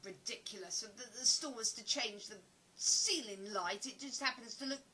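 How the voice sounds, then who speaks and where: A woman acting in an audio play as a sharp, suspicious woman who interrogates a stranger in an accusing, theatrical, easily indignant way. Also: on the high side, moderate pace, husky, full character voice, low-quality recording. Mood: defensive, flustered.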